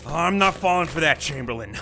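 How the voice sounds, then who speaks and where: A harried, sarcastic executive on the run in a radio play, his rather high voice hurried and exasperated. Music runs underneath him. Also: animated, gravelly. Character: harried male vice president on the run. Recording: audio drama with music bed.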